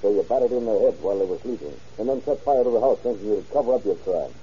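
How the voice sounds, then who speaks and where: A low, gravel-dark, stern police chief. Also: male voice, animated, fast talker. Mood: sardonic, accusing. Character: stern, sardonic police chief questioning a suspect. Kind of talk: 1930s radio drama acting. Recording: muffled old radio recording.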